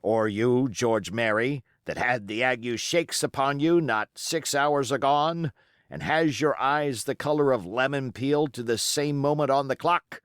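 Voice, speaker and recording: A man's voice: medium pitch, measured pace, clear, resonant tone, dry recording, clean studio sound, pitched above the performer's own voice, close to an everyday voice. A man voicing a cunning, scornful pirate ringleader in an audiobook, taunting and emphatic with rhetorical questions. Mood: taunting, triumphant. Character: Long John Silver, scornful cunning male pirate ringleader.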